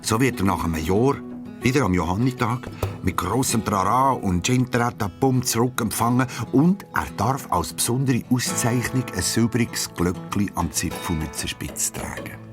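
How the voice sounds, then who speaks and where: A man's voice: medium pitch, over music. A man narrating a children's audio story expressively, drawing out key words. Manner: expressive storytelling, drawn-out emphasis.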